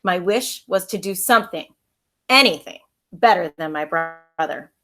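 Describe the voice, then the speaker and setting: A woman's voice: fairly low pitch, animated, measured pace, sharp tone, soft background music. A woman who is an elementary school teacher, reading a children's storybook aloud with expression.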